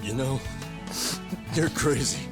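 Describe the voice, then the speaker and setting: A man playing a gruff, cynical but loyal friend in a video game dub, blunt and wry. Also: mid-range voice, slow pace, over music, close to an everyday voice. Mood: flustered, teasing.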